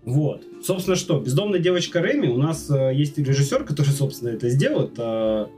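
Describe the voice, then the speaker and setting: A man's voice: mid-range voice, sing-song, a little fast, dark tone, far from the mic, faint music behind. A man hosting a casual podcast, retelling a plot loosely with lots of fillers. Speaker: podcast host.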